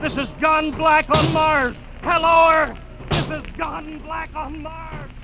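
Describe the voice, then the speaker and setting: A man's voice: very high voice, flat delivery, slow pace, heavily acted. An actor playing a male rocket captain in a 1950s radio drama, clipped and stammering when scared. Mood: desperate. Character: male rocket captain, steady then desperate. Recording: hissy old radio transcription.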